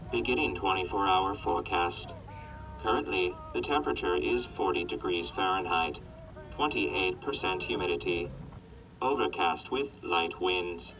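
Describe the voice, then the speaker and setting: A man voicing a weather-forecasting robot that reads data in a flat, methodical way. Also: deep voice. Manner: flat, methodical report delivery. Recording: distant voice over loud music.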